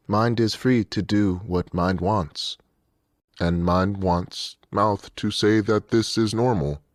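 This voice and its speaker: An actor playing a brainwashed radio DJ who sounds stilted, detached and unsettlingly calm. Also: male voice, sits low, no room sound, clean studio sound. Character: dazed, brainwashed radio DJ, eerily content man. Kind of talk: scripted radio link.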